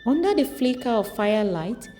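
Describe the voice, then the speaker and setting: A woman's voice: a little high, sing-song, moderate pace, dark tone. A woman narrating a scripted folktale as a storyteller. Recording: long reverb, music underneath. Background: music.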